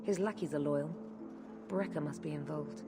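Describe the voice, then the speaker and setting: Woman acting a low-voiced, cynical crime enforcer. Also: moderate pace, loud music behind the voice, natural voice. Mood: hard, menacing. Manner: sardonic, hard-edged, blunt. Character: tough, cynical female criminal enforcer, impatient and menacing. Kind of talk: dramatic video game acting.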